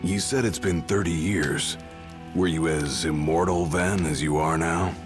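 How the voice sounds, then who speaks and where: A gruff young male warrior talks in a deep register under heavy music. He is proud and sardonic, keeping his lines short and casual as in a game cutscene. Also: fairly even intonation, unhurried. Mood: curious. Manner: terse, sardonic, colloquial. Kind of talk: video game cutscene acting.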